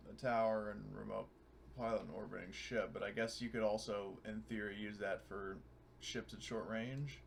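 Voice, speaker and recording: A man with a low, creaky voice talks through his moves as a tabletop game player. He speaks casually and haltingly, working ideas out aloud, with some faint noise behind him. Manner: hesitant, thinking aloud.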